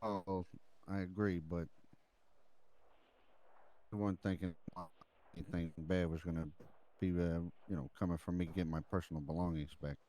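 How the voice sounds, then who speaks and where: A man playing a deferential mobster reporting to his boss in improvised crime role-play, halting and repeating words as he goes. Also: low-pitched, steady tone, dark tone, lightly acted, rough recording. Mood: defensive, loyal. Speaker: role-play gamer playing a mob underling.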